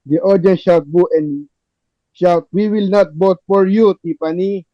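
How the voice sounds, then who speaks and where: A man's voice: sits high, fairly even intonation, unhurried, no echo. A man narrating stage directions in an amateur table read, recorded dry and a little dull. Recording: dull lo-fi, dry close sound.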